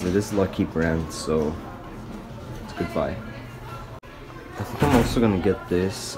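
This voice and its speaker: A young man talking casually to the camera in a rambling, slangy vlog style, saying "like" often. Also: low-pitched. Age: young adult. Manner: rambling, loose and slangy, with frequent "like". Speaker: vlogger. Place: parked car, later small store fitting room. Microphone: camera or phone at arm's length.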